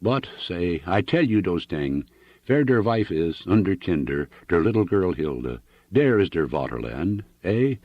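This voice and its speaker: A man's voice: deep voice, very animated, unhurried, no echo, heavily acted. A man voicing a middle-aged German immigrant farmer with a heavy German accent, speaking broken English full of German exclamations. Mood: reminiscing. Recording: dull dry lo-fi recording.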